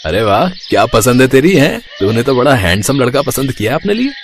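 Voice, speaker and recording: A man playing a caring, teasing young elder brother in a dramatized family story, talking in an expressive, familiar way. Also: medium pitch, brisk, a little hiss or hum. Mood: playful, teasing.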